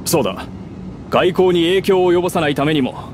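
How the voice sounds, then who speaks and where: An actor playing a businesslike covert agent in an anime video game, speaking in a cool, curt and formal way. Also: male voice, fairly high pitch, a little fast, background noise, close to an everyday voice. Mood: cool, impatient. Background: game music.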